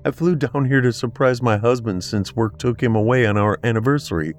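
A man voicing a heartbroken young wife whose voice is pushed up high and strained with tears. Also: fairly quick, lightly acted. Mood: shaken. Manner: raised pitch, strained and tearful.

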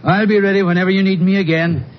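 An actor playing a talkative, eager country farmer with a folksy, excitable manner in an old-time radio drama. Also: male voice, sits high, fast talker, natural voice. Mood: rambling, earnest. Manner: folksy, rambling, excitable storyteller. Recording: noisy, dull 1950s radio recording.

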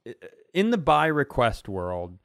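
A man's voice: mid-range voice, big pitch swings, measured pace, gravelly, a bit bright, clear, resonant tone, dry recording, studio quality. A man co-hosting a comedy podcast, chatting casually and acting out imagined quotes as he tells stories.

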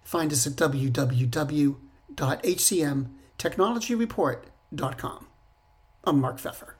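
A male podcast host reading a weekly roundup of business technology news in a measured, scripted newsreader delivery. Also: fairly high pitch.

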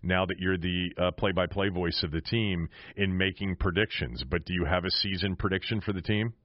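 A man's voice: sits low, moderate pace, no room sound, dull audio. An American man hosting a casual sports radio interview. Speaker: sports show host.

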